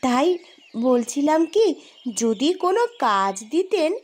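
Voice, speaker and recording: A woman's voice: high-pitched, sing-song, takes it slowly, heavily acted. A woman voicing a cautious, earnest young eldest sister, a princess, in a fairy-tale cartoon. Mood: placating. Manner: earnest, placating, admonishing.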